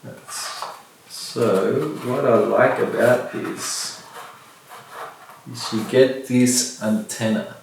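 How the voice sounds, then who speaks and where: Echoey, slow-talking low-voiced man. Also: gravelly. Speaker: vlogger reviewing gear. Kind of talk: casual unboxing commentary. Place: indoor room with bare walls, at a table. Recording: very echoey room, distant mic, faint noise.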